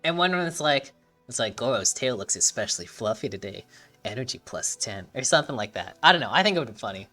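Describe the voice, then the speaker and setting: A woman's voice: rumbling low, animated, quick pace, pushed and bright, soft background music. A young woman giving casual commentary while playing a video game, thinking aloud, reading game text aloud and swearing casually. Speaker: gaming streamer.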